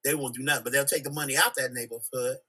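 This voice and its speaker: A man's voice: medium pitch, steady tone, fast talker, sharp tone, breathy edge. An American man making emphatic points in a casual group discussion, often checking for agreement. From United States, Chicago. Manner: emphatic, seeks agreement with tag questions. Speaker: podcast discussion participant.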